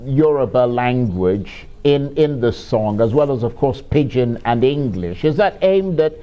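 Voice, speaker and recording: A man asking interview questions in measured, formal phrasing. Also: medium pitch, expressive, highs cut off.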